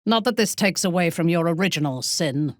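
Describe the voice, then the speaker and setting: A woman playing a haughty, ruthless dark elf warrior in a fantasy video game, speaking in a measured, imperious, formal way with a breathy, husky voice. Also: fairly low pitch, expressive, no echo, studio quality, natural voice. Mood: cool, cutting. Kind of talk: scripted video game character lines.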